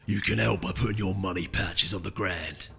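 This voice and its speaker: Casting: man as burly storybook pony mugger, blunt, taunting menace, forceful tone, music behind. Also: deep voice, moderate pace, creaky, slightly rough, exaggerated voice. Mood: threatening. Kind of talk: audiobook character voice. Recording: echoey hall reverb, music behind.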